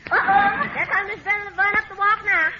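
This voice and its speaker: An actress playing a good-natured, loyal and admiring housemaid with a giggly manner in 1940s radio comedy. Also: female voice, very high voice, fairly even intonation, a little fast, heavy background noise. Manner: giggly, admiring, uses big words. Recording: dull old radio recording.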